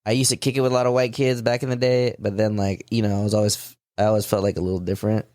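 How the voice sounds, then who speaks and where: A man co-hosting a podcast with a lowish voice, talking in a loose, easygoing way. He trails off into fillers and laughs often. The sound is dry and close. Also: level tone, very fast, darkish tone, no room sound. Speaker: podcast co-host. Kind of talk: casual chat.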